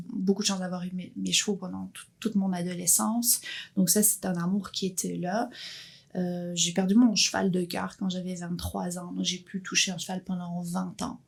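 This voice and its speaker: A woman's voice: lowish voice, creaky, breathy edge. A woman, sharing her personal story as an interview guest in an earnest, thoughtful way with frequent restarts. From Belgium. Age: 50s. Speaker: guest entrepreneur.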